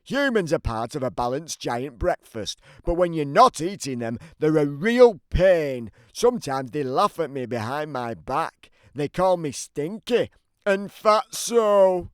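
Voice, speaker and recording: A man's voice: a little high, big pitch swings, unhurried, smooth, no room sound, studio quality. Man voicing a grumpy storybook giant, swooping delivery. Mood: aggrieved, grumbling. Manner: theatrical, emphatic storybook delivery. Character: grumpy, aggrieved, always-hungry big giant telling his side. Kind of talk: scripted children's storybook narration.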